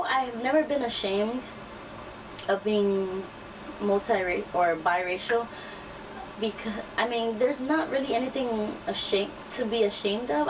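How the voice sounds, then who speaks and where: A young woman talking casually to her channel in a tag video, relaxed and chatty with frequent ums and restarts. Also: fairly high pitch, background noise. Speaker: YouTuber. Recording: distant camera mic, faint music.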